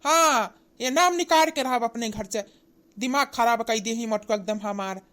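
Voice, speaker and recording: An angry village husband and devoted son voiced by a woman for cartoon comedy. The voice is high, with an Awadhi accent. The delivery is callous and hectoring. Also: moderate pace, a bit bright, natural voice. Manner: hectoring, repetitive scolding.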